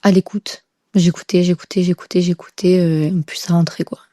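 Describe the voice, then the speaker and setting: A woman's voice: lowish voice, little pitch movement, normal speed, dark tone, no room sound, studio quality. A young woman tells her story casually as a podcast guest, hesitantly and repeating words for emphasis. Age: young adult.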